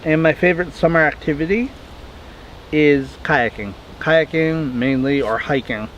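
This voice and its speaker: A man's voice: fairly high pitch, a little slow, dull audio, slight background noise. A man in his twenties chatting casually outdoors in a wooded yard, rambling and correcting himself as he answers viewer questions. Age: 20s. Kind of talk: casual Q&A vlog.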